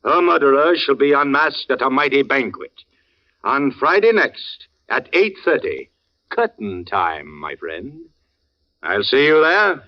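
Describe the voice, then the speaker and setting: A man's voice: mid-range voice, very animated, measured pace. A grandiose old man of the theater, declaims with grief and vengeance in florid phrases. The sound is a dull, band-limited 1940s radio drama recording. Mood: grandly vengeful. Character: theatrical old actor, a grieving father bent on revenge.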